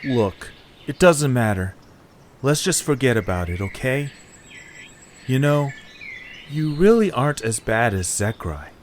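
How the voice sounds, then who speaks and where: A man playing a weary young male hero, speaking in a low-key, sincere way in an amateur audio drama. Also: very animated, measured pace, pauses often, slight background noise. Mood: subdued.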